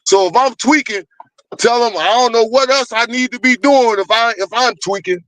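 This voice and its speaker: A man, hosting a casual livestream and bragging in a loud, profane way. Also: very high-pitched, normal speed, no echo. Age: 50s. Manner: boastful, profane, animated. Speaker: livestream host.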